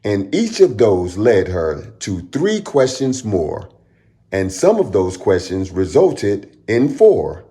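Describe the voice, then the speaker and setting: A man reading a rhyming children's picture book aloud as a storytime host, in an expressive, dramatic storyteller manner. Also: lowish voice, slow pace.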